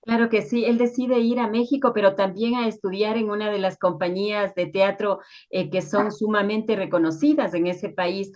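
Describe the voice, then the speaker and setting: A woman's voice: mid-range voice, fairly even intonation, normal speed, few pauses, a little mellow. A woman interviewing conversationally, commenting and putting questions to a guest. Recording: slightly dull, lo-fi sound.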